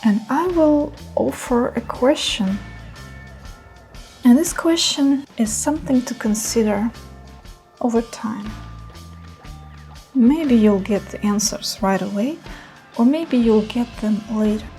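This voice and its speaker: A woman leading a guided meditation in a soothing, unhurried manner with gentle pauses. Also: on the high side, faint music behind.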